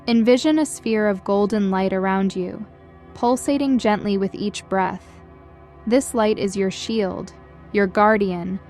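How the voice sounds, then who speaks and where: A woman reading a scripted guided meditation in a gentle, soothing, measured way, as a guide leading listeners. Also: medium pitch. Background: background music.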